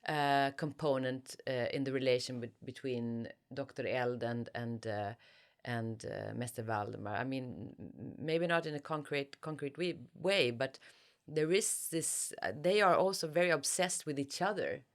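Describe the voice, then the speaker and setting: A woman with a Swedish accent, an author who speaks thoughtfully as an interview guest or reading from her own writing. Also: extremely low pitch. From Sweden. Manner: halting, searching for words. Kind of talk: thoughtful interview talk and reading aloud from a novel.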